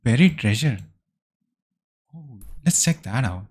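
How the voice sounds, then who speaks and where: A man casually commenting while streaming a game, chatting with viewers and thinking out loud. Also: medium pitch, animated, takes it slowly, slightly rough. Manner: chatty, answers chat, thinks aloud with fillers.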